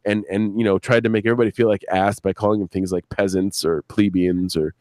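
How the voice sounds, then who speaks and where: A man's voice: on the low side, fairly even intonation, quick pace, a little mellow, no room sound, clean studio sound. A man, a show creator and guest, chatting casually on a live stream, telling rambling, profane anecdotes with quoted dialogue.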